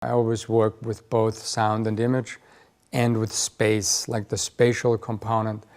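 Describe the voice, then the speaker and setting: Flat-toned, lowish man with an Austrian accent. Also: measured pace. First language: German. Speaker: audiovisual artist. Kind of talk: interview for a documentary.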